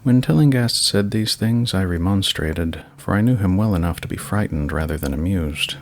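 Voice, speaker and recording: Very deep, gravelly male horror narrator. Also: normal speed. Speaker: narrator, channel host. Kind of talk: slightly dramatic horror story reading.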